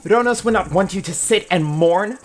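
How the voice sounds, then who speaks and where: An actress playing a proud, fierce woman vizier of poisons in a fantasy audio drama, forcefully calling out. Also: mid-range voice, moderate pace, faint music behind, lightly acted. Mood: urgent, commanding.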